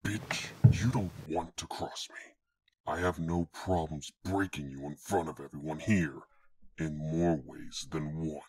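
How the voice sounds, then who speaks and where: A man playing a crude, menacing demon thug in an animated audio drama, sneering and threatening. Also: extremely low pitch, measured pace, breathy edge, exaggerated voice. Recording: loud music over the voice.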